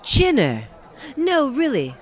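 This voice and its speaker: A woman's voice: high-pitched, wildly swooping pitch, slow, full character voice. A woman playing an amused, sassy young woman on a night out in a 1920s period drama. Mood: amused, teasing. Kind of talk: period radio drama acting.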